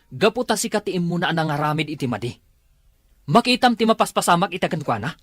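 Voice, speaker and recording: A woman's voice: on the low side, animated, fast talker, dry recording, pitched below the performer's own voice. A woman voicing a stern, accusing inner voice that scolds a guilty mother, in an Ilocano comedy-drama.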